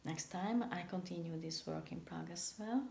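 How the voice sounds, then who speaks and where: A woman delivers a video message in an earnest, measured way, stopping now and then to hesitate. Her voice is somewhat low and gravelly, with a dark, mellow tone. The room around her is echoey, with a faint hiss of noise. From Hungary. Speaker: photographer giving a yearly message. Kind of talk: read-aloud video message.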